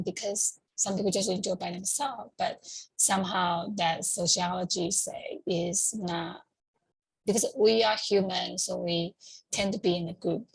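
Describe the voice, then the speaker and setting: Middle-aged woman, English, gravelly voice, unhurried and hesitant, close dry sound. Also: on the low side, no echo, rough recording. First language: Chinese. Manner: hesitant, pausing to search for words. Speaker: community talk host. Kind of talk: informal educational talk.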